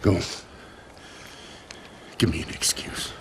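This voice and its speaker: A man playing a bitter, bullying American army sergeant in a game cutscene, gravelly, taunting and aggressive. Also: slow, background noise, lightly acted.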